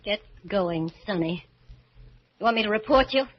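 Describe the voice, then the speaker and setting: An actress playing a frightened married woman who snaps when cornered, breathless and halting in old-time radio thriller style. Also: medium pitch, expressive, close to an everyday voice. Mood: angry, alarmed. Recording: muffled vintage radio recording.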